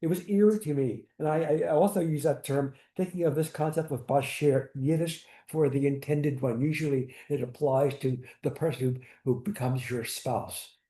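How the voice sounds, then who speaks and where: Older man, panel storyteller, mellow voice, higher pitch, halting delivery, echoey room. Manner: halting, restarts, Yiddish words. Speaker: synagogue founder on a panel. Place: community hall panel.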